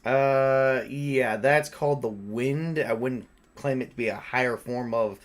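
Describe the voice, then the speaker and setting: Male tabletop game master, mid-range voice, improvising loosely with halting, repetitive phrasing. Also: mid-range voice. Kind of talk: improvised tabletop roleplay and narration, conversational.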